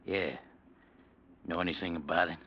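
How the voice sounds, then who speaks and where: An actor playing a menacing male gangster boss who threatens quietly in a 1940s radio drama, on a muffled old recording. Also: low voice, fairly even intonation, normal speed, slight background noise, natural voice.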